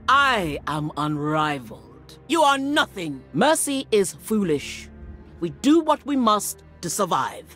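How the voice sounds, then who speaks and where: A woman playing a proud, ruthless middle-aged general and warrior mother in a video game, with an imperious, menacing delivery. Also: fairly low pitch. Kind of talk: dramatic video game voice acting. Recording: music under the voice.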